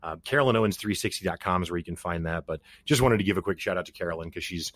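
A man with a fairly low voice hosts an interview podcast. He talks in a conversational presenter style, and the sound is dry, with very little room in it. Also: racing, a bit bright, no echo. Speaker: podcast host.

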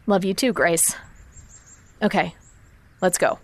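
A man's voice: high-pitched, slow. A man acting in an audio drama as an upbeat, flippant young team member with a casual, breezy manner. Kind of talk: naturalistic fiction podcast acting.

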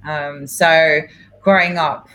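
A woman's voice: low-pitched, steady tone, slow, a bit bright, slight background noise. Chatty woman with Greek roots. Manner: chatty, laughs often, many fillers. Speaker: guest. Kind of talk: casual interview chat.

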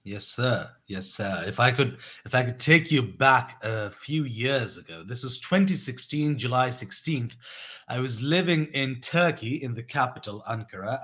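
A man's voice: mid-range voice, fairly bright tone. Guy in his 30s telling his story on a podcast, reflective, keeps restarting sentences. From born in Pakistan, raised in England. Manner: reflective, measured, with many restarts. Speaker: guest, education company co-founder.

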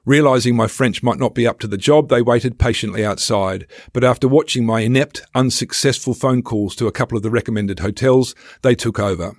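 Man, mid-pitched voice, wry self-deprecating storyteller, scripted memoir narration, measured phrasing, dry close-miked studio sound. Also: no echo. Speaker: podcast host and memoir narrator. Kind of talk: scripted first-person travel memoir narration. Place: podcast recording studio. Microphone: close studio microphone.

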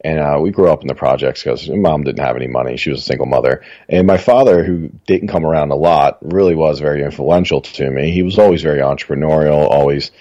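Very deep-voiced man with Baltimore accent. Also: fast talker, few pauses, mellow, no room sound, dull audio. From Baltimore, Maryland, USA. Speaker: guest, house flipper. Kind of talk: casual interview talk.

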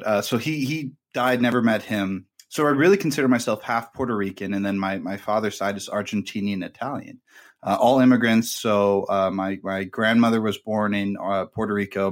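A man hosting a podcast, telling a long family story in a rambling, casual way with many fillers. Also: fairly low pitch. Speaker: podcast host. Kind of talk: casual conversation.

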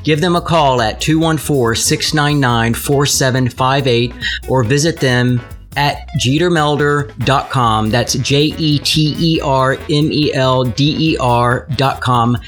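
A man's voice: mid-range voice, a little slow, fairly bright tone, faint music behind. A man hosting a podcast, presenting and talking as an interviewer. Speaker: podcast host. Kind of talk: scripted intro and sponsor read, then casual interview.

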